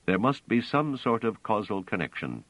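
A man voicing a cold, logical male guardian robot in a storybook reading, speaking in a measured, incisive way. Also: fairly low pitch, big pitch swings, fairly bright tone, no room sound, voice pushed up.

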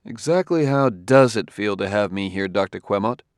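A man's voice: lowish voice, animated, moderate pace, no echo, studio quality. A man voicing a persistent male detective who presses his questions firmly.

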